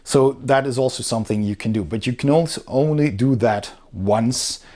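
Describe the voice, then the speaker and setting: A man with a medium-pitched voice talks through a product in an informal review style. He explains things step by step, with some hesitation, like a hobby reviewer speaking off the cuff. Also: moderate pace, warm tone. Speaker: board game reviewer.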